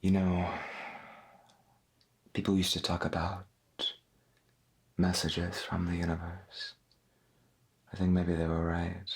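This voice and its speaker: A man playing a gentle, wondering veteran 1990s AM radio host in a soft-spoken ASMR roleplay, husky and folksy, musing in a hesitant murmur. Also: low voice, monotone, slow pace, long pauses, heavily acted. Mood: reflective, soothing. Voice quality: husky, gravelly.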